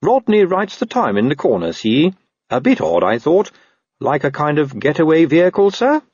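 Man voicing an earnest, high-pitched, deferential constable. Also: very animated, moderate pace, darkish tone, slightly rough, no room sound, lo-fi sound. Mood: keen, puzzled. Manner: deferential, prim. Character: earnest, dutiful, mildly reproachful male police constable. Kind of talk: audiobook character voice.

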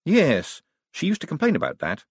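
A man voicing a quick-witted, breezy rogue of a banker in an audiobook, casual and dryly ironic. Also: fairly high pitch, wildly swooping pitch, slightly rough, dry recording, clean studio sound, pitched above the performer's own voice, exaggerated voice.

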